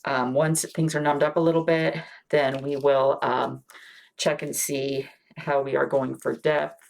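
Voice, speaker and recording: Woman, low gravelly voice, as a reassuring clinician in ASMR exam roleplay; soft, with whispered asides. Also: little pitch movement, a little slow. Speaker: ASMR roleplay creator playing a wound care clinician.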